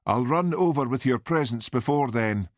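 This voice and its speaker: A man voicing a miserable, flu-ridden Highland Scottish policeman in an audiobook, stiff, self-pitying and sibilant. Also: expressive, normal speed, no echo, clean studio sound, natural voice.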